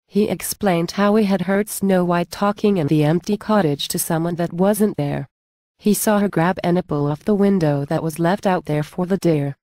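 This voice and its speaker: A woman's voice: fairly low pitch, normal speed, mellow, no echo. A woman reading scripted narration for a storybook tale.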